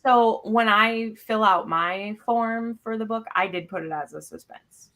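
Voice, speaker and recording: A woman chatting casually about books as a panelist, animated and informal, acting out her own reactions. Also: mid-range voice, measured pace. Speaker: book club panelist.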